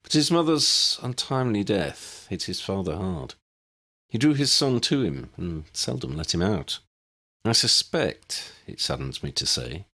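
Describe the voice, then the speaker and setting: A man's voice: deep voice, a bit bright, exaggerated voice. Guy doing a polite scholarly older gent for an audiobook, formal and old-fashioned, kinda wistful.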